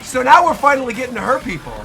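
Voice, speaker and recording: A man hosting a casual reaction show, commenting on game trailers in an animated, exclaiming way with playful mock outrage. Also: very high voice, racing, creaky, faint music behind.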